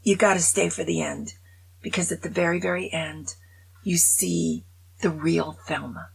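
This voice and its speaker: A woman's voice: fairly low pitch, steady tone, unhurried, gravelly, breathy edge, low-quality recording. A woman in her seventies chatting casually to camera, rambling and poking fun at herself. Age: 70s. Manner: chatty, rambling, self-mocking humor. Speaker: vlogger.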